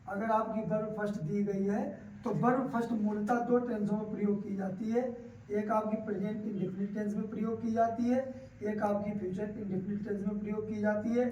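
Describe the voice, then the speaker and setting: A man's voice: high voice, darkish tone. A man with a North Indian accent teaching grammar in an explanatory, didactic way, repeating key phrases for emphasis. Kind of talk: classroom grammar lesson, explanatory. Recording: roomy echo, distant mic, faint noise.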